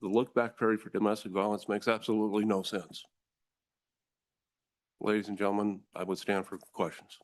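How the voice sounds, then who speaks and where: A deep, low-pitched American man testifies before a legislative committee. He speaks with forceful conviction. He addresses the room directly, like a prosecutor making his case. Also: little pitch movement, brisk, long pauses. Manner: forceful, earnest, addresses the room as ladies and gentlemen. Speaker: district attorney testifying.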